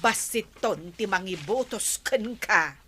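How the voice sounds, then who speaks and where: An insistent, nagging wife with an Ilocano accent in a radio comedy drama. Her delivery is slow and drawn out, with a bright, forceful tone and lively swoops. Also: medium pitch, slightly rough, exaggerated voice. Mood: scolding, coaxing. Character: nagging, coaxing wife of a village captain.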